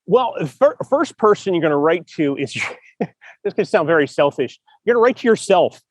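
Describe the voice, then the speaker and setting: A man's voice: on the high side, expressive, normal speed, a little hiss or hum. A man hosting a podcast, giving earnest, encouraging writing advice in a casual chat and repeating phrases for emphasis. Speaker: podcast host, writing coach.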